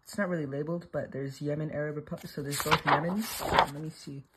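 A speaker with a slight lisp giving a casual, unscripted show-and-tell in a halting, self-correcting way. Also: mid-range voice, fairly even intonation, very noisy. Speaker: presenter showing an old atlas.

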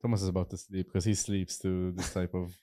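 Fast-talking low-voiced young man. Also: flat delivery, mellow. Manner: quick, joking interjections. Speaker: podcast co-host. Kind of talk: casual podcast chat.

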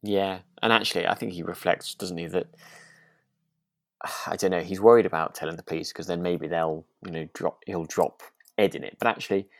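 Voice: sits low, fairly even intonation, a little fast, creaky, no room sound, clean studio sound. A British podcast co-host chatting casually about a radio drama in a hesitant, conversational way.

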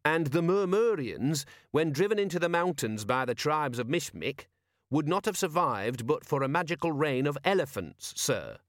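A man's voice: a little high, expressive, normal speed, no room sound, clean studio sound, voice pushed up, close to an everyday voice. A man voicing an earnest, pious constable who speaks firmly and with formal deference, in an audiobook. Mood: fervent conviction. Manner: firm, emphatic, respectful.

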